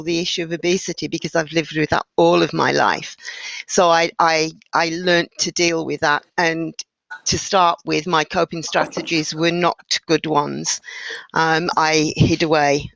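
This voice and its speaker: A woman's voice: lowish voice, vocal fry, a bit bright, no room sound, rough recording. A woman guest on a panel, speaking hesitantly and reflectively about coping. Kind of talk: panel discussion.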